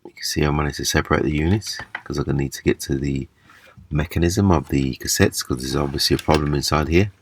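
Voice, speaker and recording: Very deep-voiced man demonstrating a repair. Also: slight background noise. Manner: halting, step-by-step explaining. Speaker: repair video presenter. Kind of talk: casual hands-on repair demonstration. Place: small indoor room at a table.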